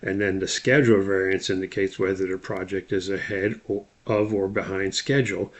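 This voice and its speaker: A man giving an instructional lecture, explaining things methodically step by step as he talks through slides. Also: sits low. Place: voice-over on a slide screen recording.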